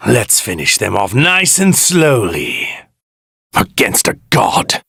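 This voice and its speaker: A man voicing an arrogant, evil god in a stolen warrior body for an anime game, pompous, theatrical and menacing, with a husky, gravelly voice. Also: lowish voice, wildly swooping pitch, slow pace, sharp tone, dry recording, studio quality, full character voice. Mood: gloating. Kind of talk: anime video game voice lines.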